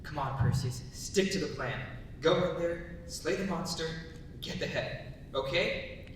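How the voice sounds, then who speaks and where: A young man playing an earnest, nervous would-be hero in a live dramatic reading, halting as he talks himself into courage. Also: natural voice. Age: young adult. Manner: halting, self-coaxing. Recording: echoey hall from far off, rumble.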